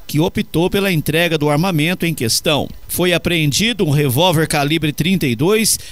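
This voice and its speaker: Man, Brazilian accent, formal police news reading, high-pitched, dry close mic. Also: moderate pace, no room sound. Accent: Brazilian Portuguese. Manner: steady formal reading, even delivery. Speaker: radio newsreader.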